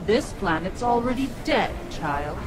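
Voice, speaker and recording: A woman's voice: mid-range voice, lively intonation, a little slow, noisy background, lightly acted. An actress playing a sinister, taunting, imperious witch in a video game. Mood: menacing. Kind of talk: video game fight intro dialogue. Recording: loud music and game effects behind.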